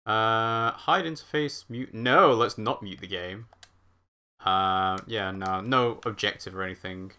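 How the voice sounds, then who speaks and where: Bright-voiced man chatting through gameplay. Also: on the low side, a little slow, clean tone. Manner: chatty, reacting aloud and reading chat. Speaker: let's play streamer. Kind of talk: casual live game commentary.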